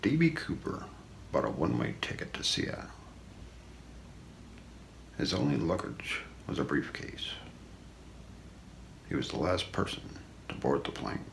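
A middle-aged man reading an ASMR true-crime story in a hushed, deliberate way, often slipping into a near-whisper. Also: extremely low pitch, wildly swooping pitch, creaky, poor audio. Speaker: ASMR role-play narrator. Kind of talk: ASMR true-crime narration read from notes. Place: small room indoors. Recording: faint background noise.

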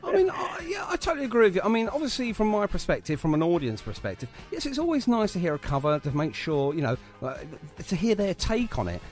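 A man's voice: high-pitched, wildly swooping pitch, fast, hardly any pauses, faint music behind. A man hosting a radio show in a chatty, effusive interview style. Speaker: radio host.

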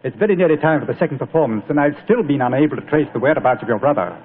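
Deferential captain, quick, slightly high voice, formal, old radio play. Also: male voice, lively intonation. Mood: urgent, respectful. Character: brisk, deferential male army captain. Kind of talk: 1940s radio drama acting. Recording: tinny 1940s radio broadcast.

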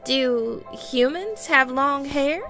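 Proud, sassy cartoon siren creature, female actor: highish voice with fry, animated delivery, music bed. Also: takes it slowly, full character voice. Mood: curious. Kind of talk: animated comic dub acting.

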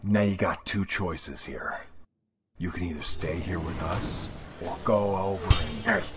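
Man as a low-voiced stern robot hero. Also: sing-song, a little slow, background noise, full character voice. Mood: stern, urgent. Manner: firm, no-nonsense. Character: stern, determined heroic robot leader. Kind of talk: dramatic animated-film acting.

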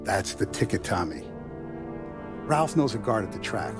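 An actor playing a calculating mob boss in a video game, speaking in a measured, businesslike, authoritative way. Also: male voice, on the low side, animated, over music, lightly acted. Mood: grave, scheming. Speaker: crime boss. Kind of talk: cinematic video game dialogue.

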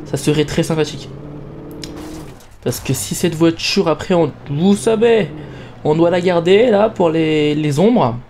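A man's voice: fairly high pitch, measured pace, a little mellow, a little hiss or hum. A young man giving casual gameplay commentary in a playful, self-mocking way. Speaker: let's play gamer.

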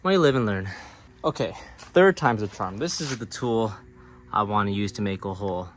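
A man's voice: lowish voice, moderate pace. A middle-aged man giving casual how-to narration outdoors, informally thinking aloud as he explains. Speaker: DIY vlogger. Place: outdoors in a residential driveway. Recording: clear background noise with clicks.

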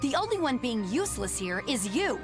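A woman's voice: sits high, big pitch swings, brisk, music in the background. A woman playing a stern, proud, rule-bound young soldier in a video game, speaking bluntly. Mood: scornful, angry. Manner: blunt, clipped, scolding. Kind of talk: English game voice acting.

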